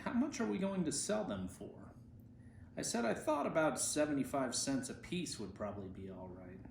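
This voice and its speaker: Aged monk read by a man, seventies, humble and chatty, plain-spoken storybook reminiscing, mid-pitched, roomy sound. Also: darkish tone. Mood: recounting. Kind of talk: storybook reading of quoted speech. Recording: roomy echo, faint background noise.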